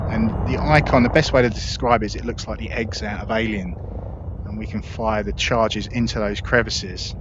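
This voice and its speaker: Low-voiced man doing casual game commentary. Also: dull audio. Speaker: gaming video host. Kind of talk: casual gameplay commentary and tutorial. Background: space game sound effects and engine noise.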